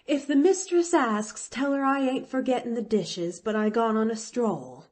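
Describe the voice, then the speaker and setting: Woman voicing a flustered, folksy housemaid, pitched up. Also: lively intonation, measured pace, dark tone, slightly rough. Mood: dogged. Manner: folksy, ungrammatical, exclaiming. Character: flustered, kindly young country housemaid, plain-spoken and dogged.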